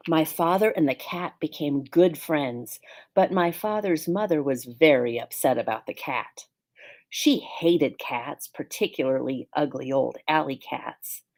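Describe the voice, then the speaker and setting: Female teacher, storybook read-aloud: low pitch, creaky texture. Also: a little slow, a little mellow. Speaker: schoolteacher reading aloud to pupils.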